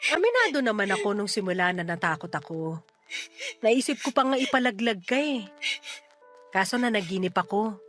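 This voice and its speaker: An actress playing a flighty, doting middle-aged mother in a radio drama, with a breezy, teasing, expressive delivery. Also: medium pitch, moderate pace, long pauses, soft background music. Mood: tender. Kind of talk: Tagalog radio drama acting.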